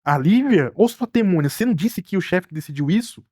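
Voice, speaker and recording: A man playing a teasing, self-protective cruise captain in an animated mystery, colloquial and Brazilian-accented. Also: sits high, quick pace, husky, no echo, studio quality. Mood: indignant. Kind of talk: animated murder-mystery dialogue.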